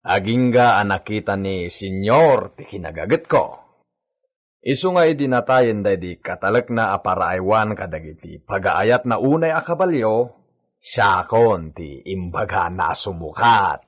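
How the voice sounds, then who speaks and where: A low-pitched Ilocano actor playing a hardworking villa servant, once a gardener. His intonation swings widely as he banters and exclaims in radio drama style. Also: animated, a little slow. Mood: earnest.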